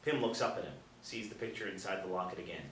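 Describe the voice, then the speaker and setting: A man's voice: low-pitched, quick pace, a bit bright. A man reading stage directions as scripted narration at a table reading. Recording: roomy, distant mic, faint noise.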